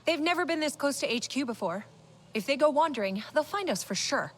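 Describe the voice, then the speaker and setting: A woman's voice: sits high, animated, a little fast. A woman playing an alert, anxious young resistance technician in a cinematic video game. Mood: worried.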